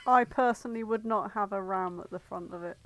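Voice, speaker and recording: A woman co-hosting a podcast in casual banter, blunt, sweary and quick to argue. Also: mid-range voice, a loud crowd. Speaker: podcast co-host.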